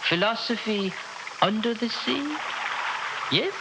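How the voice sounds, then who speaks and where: Haughty head of state, high-pitched, highly animated, commanding, easily panicked, vintage radio drama. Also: male voice, slow, heavily acted. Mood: haughty, amused. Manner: pompous, formal, commanding. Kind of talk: 1960s radio drama acting. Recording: noisy old radio recording.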